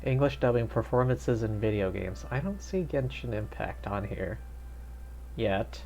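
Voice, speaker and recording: A man's voice: on the low side, animated, measured pace, creaky, a little mellow, lo-fi sound. A man streaming a video game live, chatting casually and reacting aloud with laughter. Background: faint game music.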